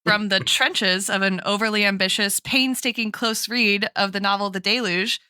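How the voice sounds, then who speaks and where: A woman's voice: medium pitch, fairly even intonation, normal speed, bright, forceful tone, clear, resonant tone, dry recording, clean studio sound. A woman co-hosting a chatty podcast, asking questions in an articulate, playfully formal way. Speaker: podcast co-host interviewing her co-host. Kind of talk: chatty podcast discussion, mock-formal interview.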